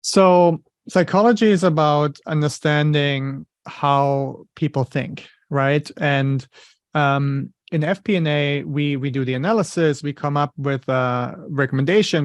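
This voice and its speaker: German-accented man, explaining calmly. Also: medium pitch, dry recording. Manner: thoughtful, explanatory, uses "you know" fillers. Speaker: interview guest, finance leader. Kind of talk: conversational interview.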